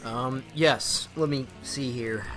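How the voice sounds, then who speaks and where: An actor playing a wary, composed speakeasy owner in an old-time radio drama, clipped and polite. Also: male voice, medium pitch, slow, fairly bright tone, faint music behind.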